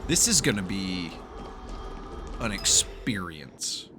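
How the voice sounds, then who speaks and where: A man's voice: low voice, very animated, takes it slowly, noisy background. A man giving casual, reactive, joking commentary as he plays a video game. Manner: reactive, jokey. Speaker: gaming YouTuber. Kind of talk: casual let's-play commentary.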